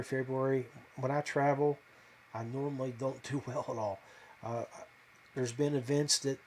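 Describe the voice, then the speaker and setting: Casual male podcast host, thinking out loud. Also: mid-range voice, a little hiss or hum. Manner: hesitant, off-the-cuff, self-deprecating. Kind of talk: casual fishing talk to viewers.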